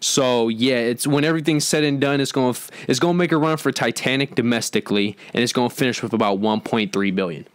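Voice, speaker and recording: A man co-hosting an entertainment podcast, talking casually through box-office figures with halting restarts. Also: mid-range voice, clean tone, dry recording.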